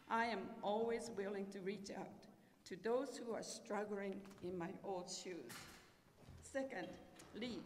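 A middle-aged Japanese woman with a Japanese accent, giving a careful, prepared personal speech at a podium with a handheld microphone, sometimes restarting words. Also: mid-range voice. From southern Japan. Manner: careful, halting delivery with restarts. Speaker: guest speaker. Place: large school auditorium stage, at a podium. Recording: echoey auditorium with faint hiss.

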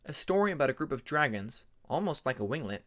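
A man's voice: mid-range voice, big pitch swings, fast talker, a bit bright. A man reading a scripted fantasy story as an audiobook narrator.

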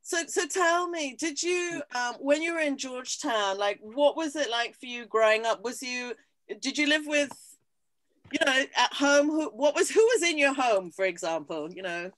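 High-voiced woman hosting a video call. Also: expressive, normal speed, fairly bright tone, no echo. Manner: friendly, prompting questions. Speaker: host. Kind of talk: moderating a video call event.

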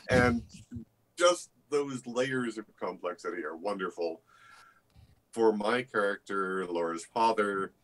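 An older man, an actor giving reflective, articulate interview answers over a video call in measured phrases. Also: mid-range voice, low-quality recording. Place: indoor room with bookshelves.

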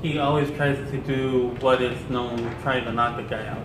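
A young man co-hosting a casual chat, relaxed, joking and opinionated. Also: mid-range voice, normal speed. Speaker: co-host. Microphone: camera a few feet away. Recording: echoey room with clear background noise.

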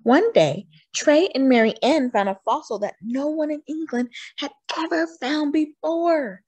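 A woman reading a children's picture book aloud in an expressive storytelling manner, drawing out words for emphasis. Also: sits high, no echo, low-quality recording. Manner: animated storytelling with drawn-out emphasis. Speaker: storytime reader.

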